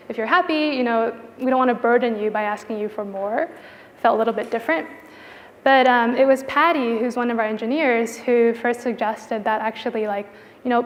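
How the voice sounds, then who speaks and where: A woman giving a conference presentation as a product designer, talking in a casual, conversational way with informal filler phrases. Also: fairly high pitch. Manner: casual, informal phrasing with frequent "like" and "you know". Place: indoor conference venue. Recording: reverberant hall with long echo.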